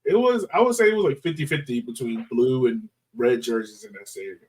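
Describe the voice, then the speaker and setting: A man hosts a casual sports chat in an ordinary mid-pitched voice. His phrasing is halting and hesitant. He sounds a little distant from the microphone. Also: animated, a little mellow. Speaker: soccer podcast host.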